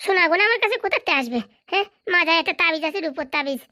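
A woman's voice: extremely high pitch, expressive, racing, sharp tone, no room sound, studio quality, exaggerated voice. Woman voicing a timid stammering boy. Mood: nervous. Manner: stammering, nervous, whiny. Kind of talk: comic cartoon voice acting.

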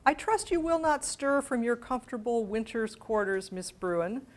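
An older woman giving a public lecture, reading letters aloud in a measured, precise way and adding informal asides. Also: fairly high pitch. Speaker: guest lecturer. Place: medium-sized library meeting room with seated audience. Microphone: lapel mic.

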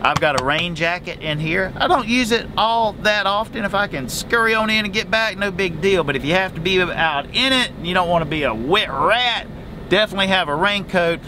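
Trucker, 40s, casual and folksy, voice a touch high, cab rumble behind. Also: male voice, moderate pace. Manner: chatty, folksy, animated hand gestures. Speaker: truck driver vlogger. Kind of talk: casual advice vlog. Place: small truck sleeper cab, indoors. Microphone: camera in front at close range.

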